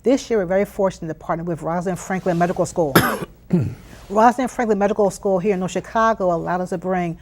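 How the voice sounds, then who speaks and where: A woman's voice: lowish voice, a little fast, a little mellow. An American woman giving conversational interview answers as a program leader, earnest and emphatic, often repeating phrases for weight. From Philadelphia, United States. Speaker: interview guest, youth STEM program leader.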